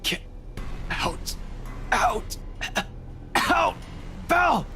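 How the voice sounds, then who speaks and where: Man acting a choked, creaky, desperate detective. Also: extremely high pitch, huge pitch swings, drawn-out words, sharp tone, a little hiss or hum, voice pushed up, exaggerated voice. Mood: desperate urgency. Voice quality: choked, croaking. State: gasping, strained. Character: defiant, foul-mouthed detective being strangled, desperate. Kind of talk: visual novel character dialogue, read aloud.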